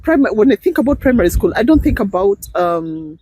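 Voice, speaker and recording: A woman's voice: medium pitch, sing-song, fast talker, dark tone, slightly rough. A middle-aged woman with a Kenyan accent, a guest chatting casually with many fillers and frequent laughs. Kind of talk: casual interview chat. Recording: noisy background.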